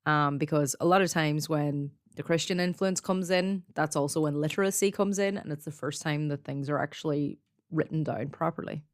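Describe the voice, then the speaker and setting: A woman with a low-pitched voice presents folklore on a podcast. The sound is very dry. She explains as she tells, conversationally, and slips in informal asides. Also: normal speed, dry recording. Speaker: podcast co-host. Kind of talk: conversational storytelling about folklore.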